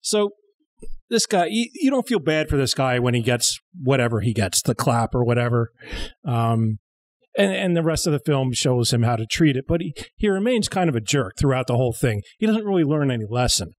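A man's voice: mid-range voice, big pitch swings, fairly quick, no room sound. A man in his thirties co-hosting a humorous film commentary show with a dry, sarcastic manner. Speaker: comedy show co-host. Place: small studio. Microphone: close studio mic on boom arm.

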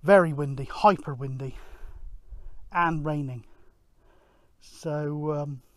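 Middle-aged man, slightly high voice, casual outdoor vlogging, rambling and halting, handheld camera mic. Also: steady tone, dry recording. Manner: rambling, halting, thinking aloud. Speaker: amateur radio vlogger, presenter. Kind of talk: casual outdoor vlog commentary. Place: outdoors on an open grassy hillside.

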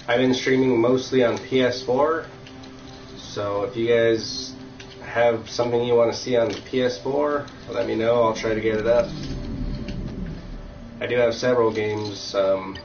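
A man's voice: mid-range voice, fairly even intonation, measured pace, music in the background. A young man with a cold, chatting casually and rambling with lots of fillers while streaming a game from home. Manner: rambling, filler-heavy, easygoing. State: has a cold, sniffly. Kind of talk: casual live-stream chat while playing. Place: room at home. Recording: distant, roomy, dull lo-fi mic.

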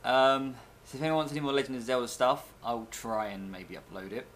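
A man's voice: mid-range voice, fairly even intonation, brisk, fairly bright tone. A young man chatting casually and rambling in a video blog, recorded on a webcam microphone in a bedroom. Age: young adult. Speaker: video blogger. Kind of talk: casual rambling vlog chat. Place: small bedroom, indoors. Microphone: webcam at desk, arm's length.

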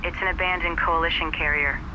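A woman playing a focused science officer in a game, reporting in a crisp, businesslike way from the field. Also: lowish voice, fairly even intonation, fast, lo-fi sound, background noise, close to an everyday voice. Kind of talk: scripted game radio dialogue.

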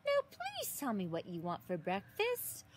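A woman in her thirties reading a picture book aloud to young children outdoors, expressively, with exaggerated gasps and drawn-out words. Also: medium pitch, huge pitch swings, soft background music. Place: outdoors in a leafy garden. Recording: outdoor recording with some ambient noise.

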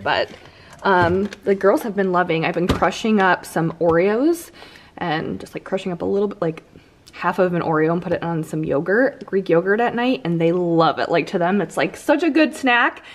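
American mom-age woman doing a casual home vlog, normal mid pitch, just chatting to viewers. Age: 30s. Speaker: lifestyle vlogger. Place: inside a house.

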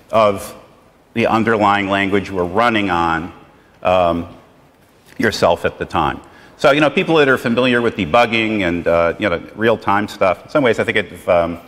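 A man's voice: on the low side, a bit bright. A middle-aged man giving a rambling, conversational conference talk, with many fillers. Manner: rambling, loose and conversational, heavy on "you know" fillers. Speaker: conference speaker. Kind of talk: conference lecture. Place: lecture hall with projected slides. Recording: reverberant lecture hall.

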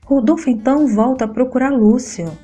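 A woman reading a scripted soap opera plot summary in a steady, even voice, with a Brazilian Portuguese accent. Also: sits high, fairly quick. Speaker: soap opera recap narrator. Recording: voice-over with faint guitar music behind.

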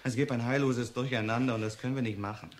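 An actor playing an officious, faintly amused police official in a German radio drama, talking down with condescension. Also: male voice, medium pitch, steady tone, quick pace, lightly acted. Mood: dismissive, amused. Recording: noisy background ambience.

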